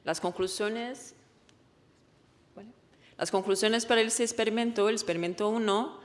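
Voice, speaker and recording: A woman, a native Portuguese speaker with a Portuguese accent, gives a research presentation in an explanatory, halting way, often correcting herself. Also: mid-range voice. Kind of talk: conference presentation. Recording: echoey hall with long reverb.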